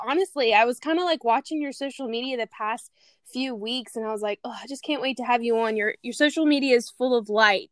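A woman's voice: sits high, no room sound, clean studio sound. Bubbly woman hosting a podcast. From Louisiana. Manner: bubbly, gushing, warm enthusiasm. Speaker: podcast host. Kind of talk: casual interview chat.

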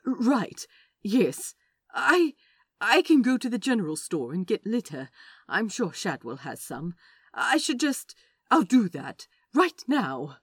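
A woman voices a kindly, fussy gentleman in an audio drama, proper and soft-hearted. The speech is slow and husky, in a close, dry sound. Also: mid-range voice, very animated, no room sound, heavily acted. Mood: flustered. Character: gentle, fussy, kindly man soothing a frightened animal. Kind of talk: audio drama acting in fan fiction.

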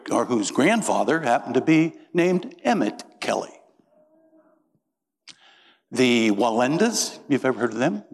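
Man, middle-aged pastor, from Indiana, folksy storyteller, lively pitch, echoing church. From Indiana, USA. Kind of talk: sermon. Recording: reverberant church sanctuary.

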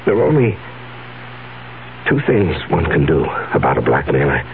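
A man's voice: extremely low pitch, big pitch swings, moderate pace, vocal fry, exaggerated voice. An actor playing an anguished, agitated businessman trapped by a blackmailer in a 1970s radio drama. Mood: grimly agitated. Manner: agitated, emphatic. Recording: narrow, tinny radio recording with hum.

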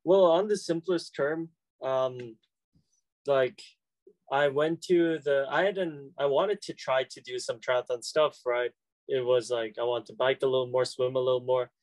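A man's voice: mid-range voice, measured pace, a little mellow, dry recording, low-quality recording. A young man, a college student, answering questions casually with many fillers and restarts. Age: young adult. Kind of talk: casual conversation.

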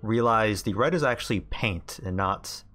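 A man serving as game master, improvising narration in a halting, informal way. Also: fairly low pitch, fairly bright tone, soft background music.

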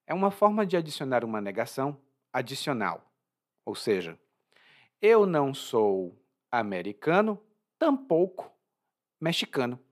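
A man's voice: mid-range voice, measured pace, pauses often. A Brazilian man with a Brazilian Portuguese accent, teaching Portuguese vocabulary in a chatty, informal way. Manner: lively, informal, chatty, laughs at own jokes. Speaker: language teacher. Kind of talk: explaining vocabulary from a story.

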